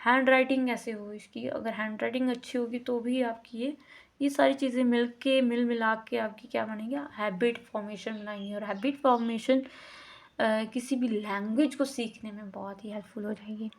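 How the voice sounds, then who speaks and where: Indian woman, lecturer, slightly high voice, slow and deliberate, demonstrates pronunciation by repeating words. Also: steady tone. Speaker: teacher. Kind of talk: lecture explaining a course unit.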